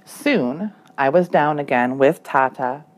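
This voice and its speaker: A woman's voice: a bass voice, takes it slowly. A woman reading a children's story aloud as a teacher, expressively and acting out the dialogue. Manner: animated, acts out the dialogue.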